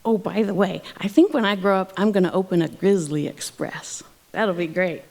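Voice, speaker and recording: A woman's voice: on the low side, normal speed, vocal fry, darkish tone. A woman voicing a precocious eight-year-old boy bear, matter-of-fact and a little pedantic, in a told fairy tale. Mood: self-assured.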